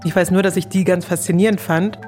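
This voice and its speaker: Female interviewee, thirties, casual recollection, slightly low voice, light music bed. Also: steady tone, quick pace, a little mellow. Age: 30s. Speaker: interview guest sharing her experience.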